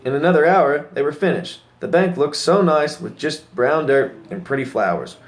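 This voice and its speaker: A man's voice: a little high, moderate pace. A man reading a children's story aloud as a narrator. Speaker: story reader.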